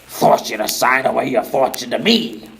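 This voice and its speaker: An actor playing a sadistic, vengeful inventor in an old-time radio drama, menacing and theatrically gloating. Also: male voice, medium pitch, lively intonation, normal speed, vocal fry, a bit bright, husky, exaggerated voice.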